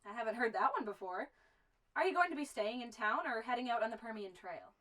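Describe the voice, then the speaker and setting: A woman's voice: fairly high pitch, animated, fast talker, a bit bright, rough recording. An actress playing a friendly, talkative young woman who keeps a remote frontier store, with a folksy manner. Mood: curious. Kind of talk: amateur western film acting.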